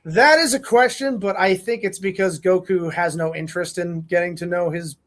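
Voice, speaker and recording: High-pitched man reading and chatting casually. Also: steady tone, normal speed, fairly bright tone. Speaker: podcast host reading fan fiction. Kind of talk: casual read-aloud and group chat.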